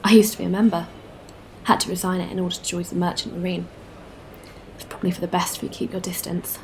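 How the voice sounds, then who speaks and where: A woman's voice: on the low side, level tone, quick pace, darkish tone, slight background noise, lightly acted. A woman playing a candid, friendly spacefarer in a sci-fi audio drama, talking in a casual, conversational way. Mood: wary. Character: candid, weary but friendly female spacefarer.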